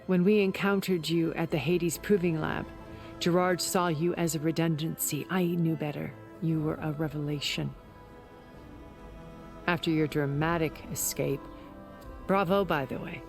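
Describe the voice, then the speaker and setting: A cultured, secretive woman from a video game drama. Her voice has a gravelly creak and she speaks with measured, articulate deliberation, composed throughout. Music plays clearly behind her. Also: deep voice, steady tone, a little mellow. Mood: admiring, assured. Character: composed, secretive, cultured older woman, former intelligence broker.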